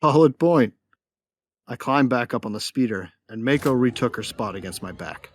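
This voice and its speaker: An actor playing a wry, guarded young space adventurer, narrating in the first person and speaking dialogue in an audio drama. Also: male voice, mid-range voice, animated, normal speed, a little hiss or hum, lightly acted.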